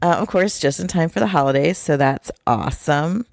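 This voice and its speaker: Hoarse low raspy woman. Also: fairly quick, a little mellow, no room sound. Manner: dry teasing. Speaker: podcast co-host. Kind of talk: casual chat.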